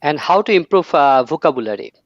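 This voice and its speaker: A man's voice: a little high, lively intonation, fairly quick, dry recording. A man asking short, slightly hesitant questions as an interviewer. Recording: dull, lo-fi, dry sound.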